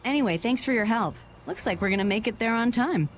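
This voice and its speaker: A woman's voice: on the high side, animated, fast talker, faint music behind, close to an everyday voice. A woman voicing a composed, businesslike adult merchant leader in a video game dub. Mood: pleased, composed.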